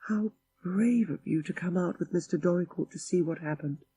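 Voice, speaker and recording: A man's voice: high voice, normal speed, breathy edge, dry recording, voice pushed up. A man voicing a kind, solicitous young Victorian lady in an audiobook story. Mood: soothing. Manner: gentle, solicitous.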